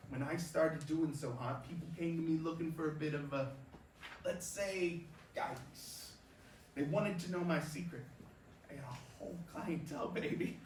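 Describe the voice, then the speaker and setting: High-voiced cocky baseball veteran, played by a man. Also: normal speed, lightly acted. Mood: smug. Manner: brash, swaggering, slangy tough-guy talk. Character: cocky, crude, manipulative veteran baseball star mentoring a rookie. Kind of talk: staged reading of a play, theatrical dialogue. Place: indoor performance space, recorded from afar. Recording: roomy distant stage recording, background noise.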